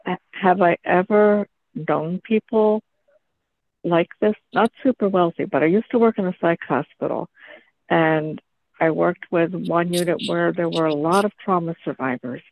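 A woman with a gravelly, fairly high voice comes through a narrow, phone-like line. She talks in an earnest, steady way. The sound is dry, with no room around it. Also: a little slow, no echo. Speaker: book group member. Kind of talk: casual group discussion.

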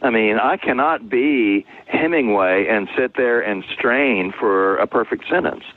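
A man's voice: medium pitch, a little slow, no echo. A man being interviewed as a novelist, talking conversationally and explaining things through anecdotes. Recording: phone-like, narrow-band, dry sound.

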